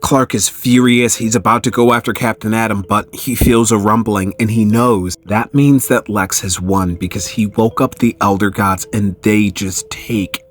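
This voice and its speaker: A man giving a casual, enthusiastic story recap as a host, with an animated, excitable way of telling it. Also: fairly low pitch, moderate pace, few pauses. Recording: music under the voice. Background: background music.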